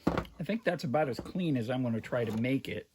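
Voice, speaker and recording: A man giving a casual hands-on demonstration, talking in an unhurried, halting way as he works. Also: mid-range voice, animated, noisy background. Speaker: host of a vintage radio restoration video.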